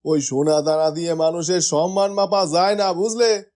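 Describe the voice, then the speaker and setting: Guy playing a stern village headman in a cartoon, middle aged, kinda high but mellow voice. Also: fairly even intonation, moderate pace, natural voice. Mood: stern, reproachful. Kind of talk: fairytale cartoon acting.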